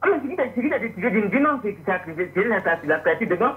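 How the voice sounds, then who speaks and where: High-pitched stammering male caller. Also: very animated. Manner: halting, repeats words over and over. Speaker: phone caller. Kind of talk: religious debate. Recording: dull, band-limited phone line.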